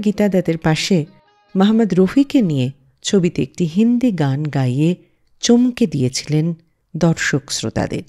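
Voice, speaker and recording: Female radio presenter, animated scripted delivery, warm low-bright timbre. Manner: lively, storytelling delivery of film trivia. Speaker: radio host.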